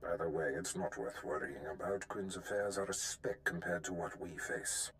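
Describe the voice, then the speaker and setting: Low, authoritative Imperial mentor. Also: male voice, fairly bright tone, slight background noise. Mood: brisk, commanding. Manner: authoritative, deliberate, formal. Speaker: game character. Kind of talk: video game voice acting.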